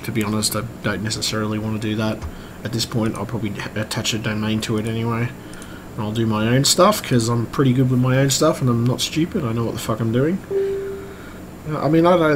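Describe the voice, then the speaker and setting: A man with a croaky, strained voice, giving a casual, hesitant, rambling update like a vlogger. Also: fairly low pitch. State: strained voice, throat-clearing. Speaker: vlogger and computer repairer. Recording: clearly noisy room recording.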